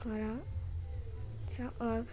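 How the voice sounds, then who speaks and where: Chatty high-voiced young French woman. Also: monotone, takes it slowly, mellow. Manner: chatty, filler-heavy with frequent "genre" and "voilà". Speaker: vlogger. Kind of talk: casual room tour vlog.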